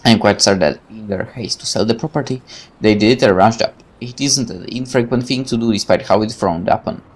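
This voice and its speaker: A man's voice: fairly low pitch, fairly quick. A man hosting a let's play, reading game text aloud and adding rambling casual asides, sometimes stumbling over words. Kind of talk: reading a visual novel aloud with casual commentary.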